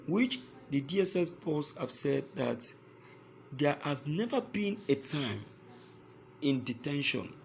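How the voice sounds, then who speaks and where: A man with a Nigerian accent giving news commentary to his listeners, speaking in a halting, emphatic way and repeating phrases. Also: mid-range voice, a little mellow, a little hiss or hum.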